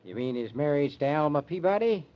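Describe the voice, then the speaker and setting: An actor playing a gruff, exasperated small-town sheriff in an old-time radio drama, blunt, folksy and bossy. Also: male voice, a little high, very animated, brisk, dull audio. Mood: blunt, questioning.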